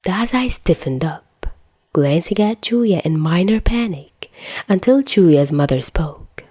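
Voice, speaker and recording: Woman, story narrator, expressive scripted reading, lower pitch, dry close sound. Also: slow, breathy edge, no room sound. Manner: expressive reading with acted dialogue. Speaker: podfic narrator.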